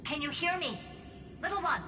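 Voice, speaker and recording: A woman voicing a bossy, impatient genius in a video game, brisk and imperious. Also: high-pitched, expressive, noisy background. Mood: calling out. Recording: distant, echoey, music underneath.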